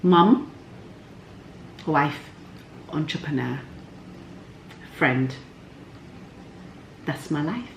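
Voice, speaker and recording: A woman's voice: low-pitched, animated, drawn-out words, gravelly, pauses often. A woman chatting casually to viewers in a candid, cheeky, slangy way, like a vlog host. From Somalia. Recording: echoey room, faint background noise.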